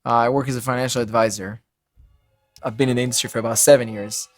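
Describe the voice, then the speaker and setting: A man from Israel speaks in a casual, conversational podcast style. His voice sits at a medium pitch, and he talks as a guest would, in loose everyday phrasing. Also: steady tone, fast talker, faint music behind. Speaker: podcast guest, financial advisor.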